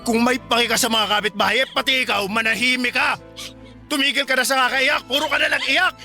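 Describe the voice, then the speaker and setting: Galit at mapang-aping asawa, matinis ang boses. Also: male voice, very high-pitched, fairly even intonation, brisk, full character voice. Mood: angry, threatening. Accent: Filipino. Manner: scolding, shouting. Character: angry, abusive husband and father, menacing and domineering. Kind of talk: radio drama acting. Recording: music behind the voice.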